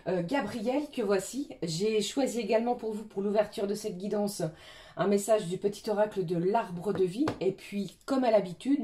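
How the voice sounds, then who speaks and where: A French woman reads oracle cards in a soft, hesitant way, her voice hoarse from a cold. Her tone is mellow and dark, and her pitch sits in the middle. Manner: gentle, many hesitations. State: has a cold, hoarse and coughing. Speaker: tarot and oracle card reader. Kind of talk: weekly card reading guidance.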